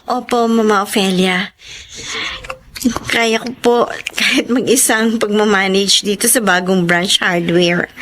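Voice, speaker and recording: A woman's voice: mid-range voice, fairly even intonation, a little slow, a bit bright, a little hiss or hum, lightly acted. A woman with a Filipino accent playing a polite, humble young mother in a radio drama. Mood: grateful, humble. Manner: polite, deferential. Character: humble, grateful, apologetic young single mother. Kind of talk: Tagalog radio drama acting.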